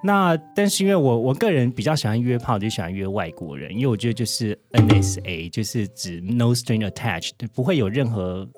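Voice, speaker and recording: A man's voice: lowish voice, lively intonation, brisk, few pauses, loud music behind the voice. A Taiwanese Mandarin-speaking man as a podcast guest, chatting bluntly and dramatically and mixing in English words. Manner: blunt, dramatic, gives vivid examples. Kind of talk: animated interview chat.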